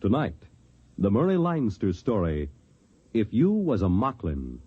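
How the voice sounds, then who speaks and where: American male announcer, formal vintage radio style, lively intonation, muffled recording, music underneath. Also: fairly low pitch, unhurried.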